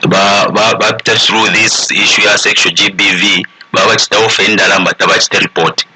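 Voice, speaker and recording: A man's voice: on the low side, level tone, normal speed, pushed and bright, a little hiss or hum, rough recording. A man with a Zambian accent explaining informally as a panel guest, switching between English and a local language.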